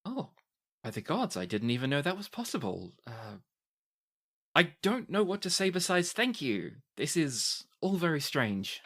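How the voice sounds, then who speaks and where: A man's voice: fairly high pitch, very animated, a little slow, a bit bright, voice pushed up, heavily acted. A man voicing a dazed, courteous young fanged man just brought back to life, hesitant and bewildered, in a tabletop game. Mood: stunned, grateful. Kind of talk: improvised tabletop roleplay.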